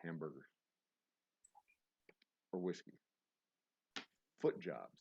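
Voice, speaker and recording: A man's voice: sits low, takes it slowly, vocal fry, dark tone, breathy edge, highs cut off. A man hosting a trivia game night on a livestream, rambling with self-mocking jokes and restarts. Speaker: host reading trivia questions on a livestream. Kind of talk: casual live-stream banter.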